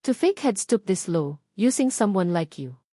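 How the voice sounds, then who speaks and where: A woman's voice: medium pitch, sing-song, normal speed, warm tone, no echo, clean studio sound. A woman voicing a haughty, sophisticated rich fiancée with a condescending, cutting manner in an audio drama. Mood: disgusted. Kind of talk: audio drama villain dialogue.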